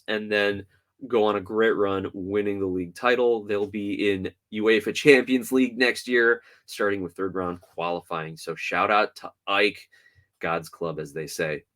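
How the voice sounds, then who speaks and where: A man hosting a soccer podcast solo, talking through results and rumors in an animated, opinionated way. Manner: animated, opinionated, swings from loud to low.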